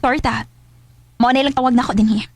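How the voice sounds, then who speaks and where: An actress playing a bold, playful young bar escort with a Filipino Cebuano accent, frank and teasing, in a radio drama. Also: female voice, fairly high pitch, steady tone, fast talker, a little mellow, dry recording, lightly acted. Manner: teasing, giggly, matter-of-fact. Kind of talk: Bisaya radio drama acting. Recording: radio drama over prominent music. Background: prominent background music.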